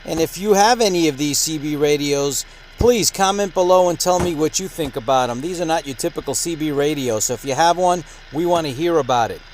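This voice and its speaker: A man with a slightly high voice hosts a gear review like a radio show. He talks in a conversational presenter's style, with noticeable noise behind him. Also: brisk, low-quality recording.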